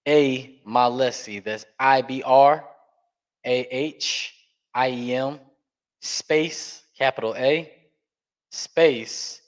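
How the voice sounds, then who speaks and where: Man, podcast guest, earnest and emphatic, mid-pitched voice, shifting pace for stress. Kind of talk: candid interview talk.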